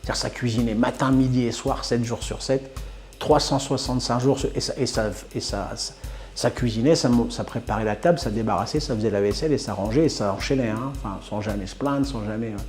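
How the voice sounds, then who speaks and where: Reflective man in his sixties, mellow tone. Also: mid-range voice, a little fast, soft background music. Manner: thoughtful, repeats words while searching for them. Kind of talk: reflective interview talk.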